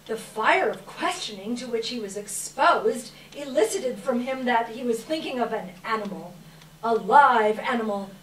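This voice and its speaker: A woman reads scripted narration for a live audience reading. Her voice is a little high and very unhurried, with emphatic storytelling phrasing. She is heard from a distance in a roomy space. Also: fairly bright tone. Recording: roomy, far from mic, faint noise.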